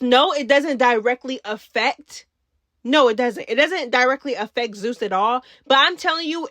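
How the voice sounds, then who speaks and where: A woman's voice: sits high, a bit bright, no echo. A woman hosting a casual reality TV commentary video, with sassy, slangy talk aimed straight at her viewers. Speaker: YouTube host.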